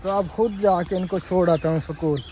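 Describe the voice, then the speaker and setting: A man hosts a casual family vlog from a village home. His voice sits high, a touch hoarse from a sore throat. He is recorded at a distance, and steady background noise is plain to hear. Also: normal speed. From Pakistan. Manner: chatty, prays and addresses viewers directly. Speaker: family vlogger. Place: rural village home outdoors.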